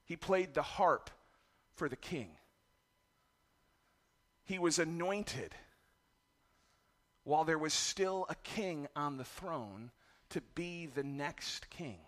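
A middle-aged man delivers a sermon in a raspy, strained voice. He speaks high and bright, candid and self-deprecating about raising his children. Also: expressive, slow pace, long pauses. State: raspy voice from yelling. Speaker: pastor.

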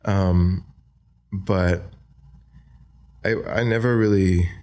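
A young man from Australia with an American accent, talking softly and hesitantly as a podcast guest, with many fillers. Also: deep voice, fairly even intonation, drawn-out words. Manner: soft-spoken, hesitant, many ums and likes. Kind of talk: casual interview talk.

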